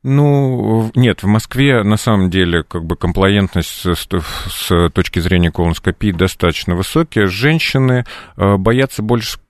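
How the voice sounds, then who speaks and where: A man in his forties, a doctor answering interview questions in a measured, hesitant way full of pauses. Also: fairly low pitch, expressive, no echo, studio quality. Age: 40s. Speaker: doctor guest.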